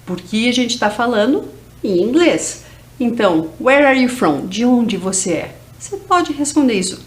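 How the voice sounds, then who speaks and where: A woman from Brazil with a Brazilian Portuguese accent, teaching English to teens in a video lesson and drawing out each word as she drills it. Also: fairly high pitch. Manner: slow, drawn-out drilling of English words.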